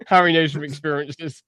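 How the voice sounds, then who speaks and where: A man's voice: a little high, bright, forceful tone, no room sound. A man in his thirties on a podcast, bantering in a crude, self-mocking, joking way. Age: 30s.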